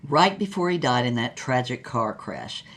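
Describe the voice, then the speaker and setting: A woman's voice: rumbling low, lively intonation, moderate pace, a bit bright. A woman playing a worldly, tough, glamorous heartbreaker, recounting her past in a wry, sardonic, unflappable way for audio drama. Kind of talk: dramatic first-person storytelling in audio fiction.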